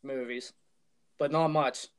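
A man's voice: medium pitch, steady tone, takes it slowly, clear, resonant tone. A man giving blunt opinions as a panelist in a casual podcast chat.

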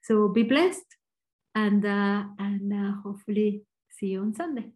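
A woman with an Argentinian accent giving a short, earnest devotional talk, reflective and pausing. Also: medium pitch, a little mellow, soft background music. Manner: earnest, reflective, slow pauses. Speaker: church speaker.

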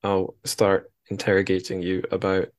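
A man hosting a casual interview show, thinking aloud in a halting way with wry asides. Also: sits low, monotone. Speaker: podcast host, interviewer.

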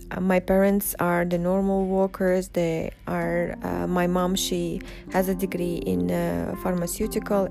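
Slow, husky-toned woman. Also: on the low side. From Uzbekistan. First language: Russian. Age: 40s. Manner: unhurried and reflective, with frequent pauses. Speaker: vlogger. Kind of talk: personal storytelling vlog. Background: background music.

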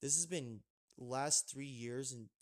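A man's voice: medium pitch, takes it slowly. A young man hosting a casual podcast chat, rambling with lots of fillers and restarts. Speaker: podcast host.

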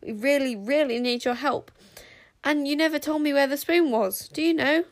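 A woman voicing an eager, excitable young girl who talks in a breathless rush. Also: sits high, moderate pace, dry recording, clean studio sound, pitched above the performer's own voice.

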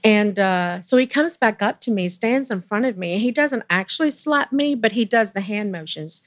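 Earnest woman with a low voice. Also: lively intonation. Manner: emotional, earnest, pauses and restarts. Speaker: interview guest. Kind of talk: personal storytelling in conversation.

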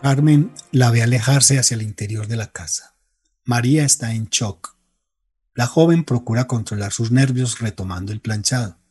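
A man's voice: mid-range voice, moderate pace, darkish tone, slightly rough. A man giving scripted narration for a radio drama. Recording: music behind the voice.

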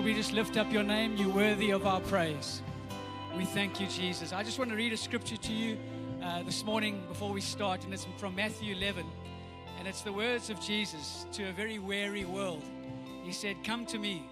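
Croaky-voiced South African pastor preaching. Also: male voice, high-pitched, steady tone, moderate pace, loud music behind the voice. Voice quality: slightly croaky. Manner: earnest, builds to rousing emphasis. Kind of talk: sermon with prayer.

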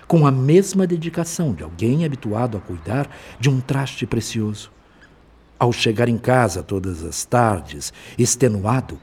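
A man playing a wise, calm spirit physician in a radio drama, explaining things in a measured, formal, fraternal way. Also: mid-range voice, breathy edge.

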